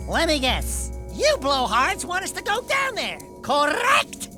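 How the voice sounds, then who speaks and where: An actor voicing a small, cocky, cowardly animal sidekick in a video game, a brash and whiny braggart. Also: male voice, very high voice, wildly swooping pitch, unhurried, exaggerated voice. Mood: wary, sarcastic. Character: cocky little wisecracking animal sidekick, male, excitable, cowardly. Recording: loud music under the voice.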